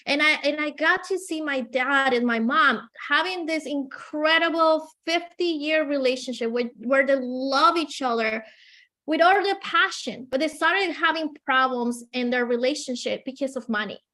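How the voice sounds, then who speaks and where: A woman from Colombia with a Colombian Spanish accent, Spanish her first language, telling her story as an interview guest in an energetic, earnest way with frequent fillers. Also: high-pitched, normal speed.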